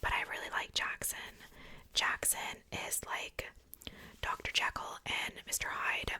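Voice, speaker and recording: Woman whispering bright, breathy ASMR. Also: a little slow. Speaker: ASMR video creator. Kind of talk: whispered ASMR, casual show-and-tell.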